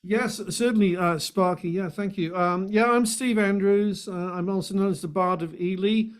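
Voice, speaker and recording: Older man, around seventy, Welsh accent, high smooth voice, conversational interview guest. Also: fairly even intonation, moderate pace. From Wales. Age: older, about 70. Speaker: guest, singer-songwriter and activist. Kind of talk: conversational self-introduction in an interview.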